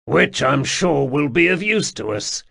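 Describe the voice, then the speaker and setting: Man voicing a bitter warrior, curt, scornful, gravelly, slow, storybook reading. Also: on the high side, big pitch swings, fairly bright tone, pitched above the performer's own voice, full character voice. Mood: flatly dismissive.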